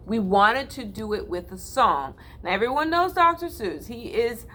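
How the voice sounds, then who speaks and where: Slow, bright-voiced children's librarian. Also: female voice, fairly high pitch. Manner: gentle, encouraging teacher tone. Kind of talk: virtual storytime reading.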